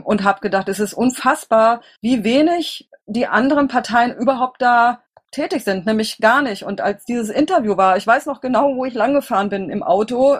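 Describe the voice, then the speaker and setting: A middle-aged German woman answering interview questions conversationally, in a halting, rambling way with self-corrections. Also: medium pitch. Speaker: interviewee, political candidate.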